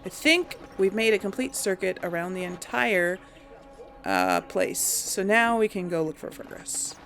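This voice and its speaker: Female let's play host; unhurried, vocal fry, rambling and self-deprecating, casual. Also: medium pitch, big pitch swings, fairly bright tone. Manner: hesitant, thinking aloud, self-deprecating. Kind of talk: casual rambling game commentary.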